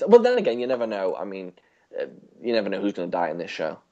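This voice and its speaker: Low-voiced man, halting podcast talker. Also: wildly swooping pitch, dark tone, dull audio. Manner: hesitant, halting, rephrasing as he goes. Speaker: podcast co-host. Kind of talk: casual podcast discussion of a TV show.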